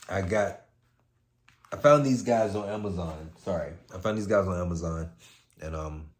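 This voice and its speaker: A man hosting a story time, with a laid-back, slangy manner, moving between casual chat and expressive read-aloud. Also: low-pitched. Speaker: host reading a story aloud.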